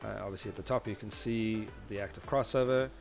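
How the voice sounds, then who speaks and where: A man presenting an informal audio engineering tutorial, explaining step by step in a halting way and often rephrasing himself. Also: lowish voice, fairly even intonation, gravelly, soft background music. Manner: hesitant, halting delivery with repeated rephrasing. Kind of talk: informal explanatory tutorial narration over diagrams.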